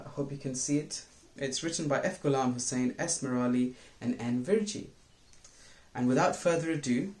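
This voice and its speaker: A man acts as storyteller for children, talking earnestly and straight to his listeners. His medium-pitched voice rings around a roomy space with noticeable echo. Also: darkish tone. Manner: earnest, addresses listeners directly. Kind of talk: reading a children's bedtime story aloud. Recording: roomy, echoing sound.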